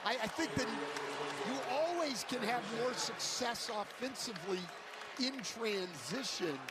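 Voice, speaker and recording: A man's voice: very high voice, lively intonation, unhurried, very noisy. A man, a coach working as an analyst, explaining the game in broadcast commentary. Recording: arena broadcast with loud crowd. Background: loud crowd and game sounds.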